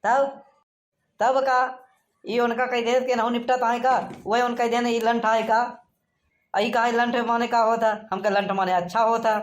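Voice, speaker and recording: A woman's voice: fairly high pitch, flat delivery, unhurried, natural voice. Woman voicing a bickering rustic cartoon village fellow. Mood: explaining, apologetic. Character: rustic village males, cheeky, bickering, easily offended. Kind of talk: comic cartoon dialogue.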